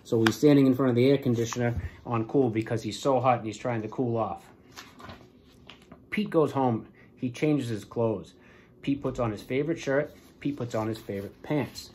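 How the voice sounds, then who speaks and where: A man in his forties, a police lieutenant, reading a storybook and speaking to schoolchildren in a plain, deliberate way. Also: medium pitch, darkish tone, a little hiss or hum. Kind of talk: children's storybook read-aloud and address to pupils. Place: small office, indoors.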